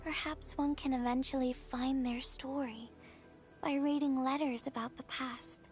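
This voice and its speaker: A woman playing a gentle, wistful young courier in a video game, speaking softly and breathily with a tender, reflective manner. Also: sits high, fairly even intonation, unhurried, soft background music. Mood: somber, sympathetic. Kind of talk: video game story dialogue.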